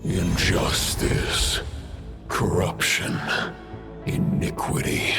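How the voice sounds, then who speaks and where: A man playing an ancient, contemptuous evil being in a video game, speaking in a slow, portentous, archaic way. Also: over music. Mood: cold menace. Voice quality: deep, gravelly. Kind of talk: video game villain acting.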